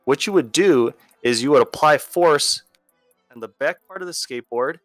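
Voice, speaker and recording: A man's voice: fairly high pitch, expressive, moderate pace, dry recording, soft background music. A man explains as a teacher in a video lesson, conversational and going step by step. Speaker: physics teacher.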